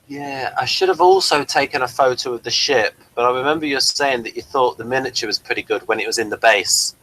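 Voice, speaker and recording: A man hosting a casual review discussion, speaking in a halting, hesitant way. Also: medium pitch, lively intonation, pushed and bright, poor audio. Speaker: review show host.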